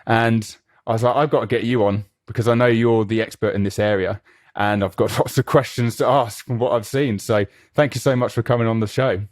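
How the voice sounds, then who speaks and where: A man's voice: medium pitch, steady tone, a little fast, no room sound, clean studio sound. A man hosting a podcast, introducing his guest and asking eager, chatty questions. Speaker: podcast host. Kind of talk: conversational interview.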